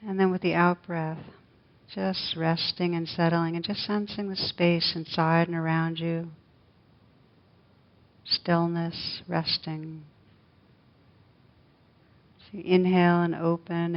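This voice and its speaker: A woman leading a guided meditation, speaking slowly and softly with long pauses. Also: on the low side. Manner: slow, soft, gentle guidance with long pauses. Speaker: meditation teacher. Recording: dull lo-fi recording.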